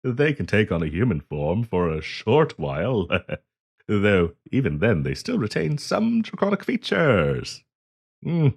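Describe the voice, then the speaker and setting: A man's voice: lowish voice, wildly swooping pitch, a little slow, gravelly, slightly rough, heavily acted. A man playing a polite, hesitant half-dragon diplomat in a fantasy roleplay audio, genteel and eager to please as he hosts the listener. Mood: patient explaining. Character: polite, flustered half-dragon diplomat hosting tea in his cave.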